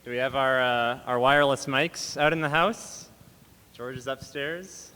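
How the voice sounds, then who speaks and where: A man's voice: medium pitch, unhurried, sharp tone. A man informally moderating audience questions at a public event. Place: large auditorium. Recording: reverberant hall, clear noise, audience sounds.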